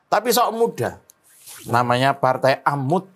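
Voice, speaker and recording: A man's voice: medium pitch, very animated, measured pace, a bit bright. A man playing a boastful, mock-solemn middle-aged Javanese fortune-teller in improvised comedy banter, with a Javanese accent and a habit of repeating himself. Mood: mock-solemn prophecy. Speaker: guest fortune-teller on a comedy talk show.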